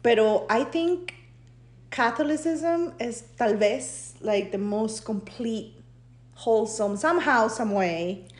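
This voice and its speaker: Higher-voiced woman from Peru, hesitant chat. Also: slow pace, roomy sound. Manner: hesitant, many fillers, laughs, mixes Spanish into English. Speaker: podcast co-host. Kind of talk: casual bilingual chat.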